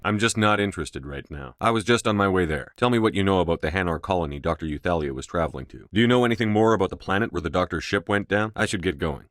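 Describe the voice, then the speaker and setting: A man's voice: low-pitched, a bit bright, dry recording, clean studio sound. A man playing a stern, commanding space soldier hero in a video game, speaking in a terse, controlled, authoritative way. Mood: calm, businesslike. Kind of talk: video game dialogue acting.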